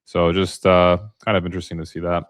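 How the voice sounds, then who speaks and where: A man's voice: low voice, completely flat delivery, fast talker, no room sound, studio quality. A man hosting a casual podcast chat, easygoing, reading posts aloud to his co-host. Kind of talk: casual freight market chat.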